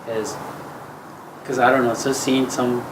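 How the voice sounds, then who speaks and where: A young man from Mexico speaks in a flat, even voice, pausing and restarting often as he answers. A clear hum of air conditioning runs behind him throughout. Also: mid-range voice. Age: young adult. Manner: halting, full of "like" and restarts. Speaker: student interviewee. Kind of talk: casual interview answer.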